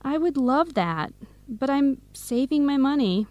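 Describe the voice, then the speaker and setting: A woman's voice: high-pitched, lively intonation, measured pace, darkish tone, dry recording. An actress playing an earnest, playful young woman with coffee shop dreams in an educational radio drama. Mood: playful, confiding.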